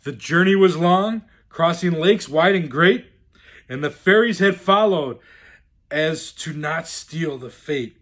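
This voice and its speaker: A man reading a rhyming children's storybook aloud in a theatrical, deliberate, expressive way. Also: on the high side. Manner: theatrical, deliberate, swooping delivery. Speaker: storyteller in the role of Santa.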